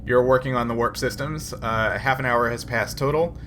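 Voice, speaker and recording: A man's voice: medium pitch, moderate pace, fairly bright tone, slight background noise. A man running a tabletop role-playing game, explaining rules and procedure as game master.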